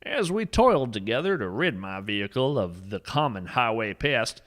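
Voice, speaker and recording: A man's voice: mid-range voice, sing-song, normal speed, fairly bright tone. A man playing a folksy, wonder-struck eccentric naturalist, narrating a scripted podcast with dramatic, tongue-in-cheek grandeur. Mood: mysterious relish. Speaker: podcast host. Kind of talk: theatrical scripted storytelling narration.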